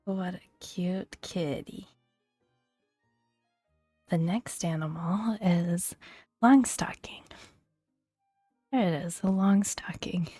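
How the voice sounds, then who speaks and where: A woman speaking softly and gently in a breathy near-whisper, narrating a video game as ASMR. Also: on the low side, takes it slowly, pauses often, dry recording. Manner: gentle, hushed delivery. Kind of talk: soft-spoken ASMR video game commentary. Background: soft background music.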